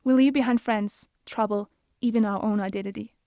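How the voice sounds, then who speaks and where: A young woman with a Bosnian accent, an activist reading an earnest, measured personal narration. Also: mid-range voice, fairly even intonation, dark tone, dry recording, studio quality. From Denmark. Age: young adult. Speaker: youth activist.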